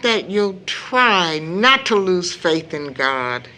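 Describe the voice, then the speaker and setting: An actress playing a devout, gentle middle-aged mother in an audio drama, earnest and measured. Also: fairly low pitch, big pitch swings, full character voice. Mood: earnest, consoling. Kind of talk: stage-style audio drama acting.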